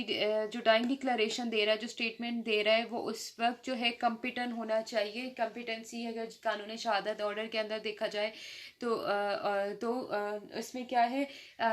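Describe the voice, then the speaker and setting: A woman with a Pakistani accent giving an explanatory law lesson, mixing in English terms and often pausing to rephrase. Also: a little high. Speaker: law lecturer.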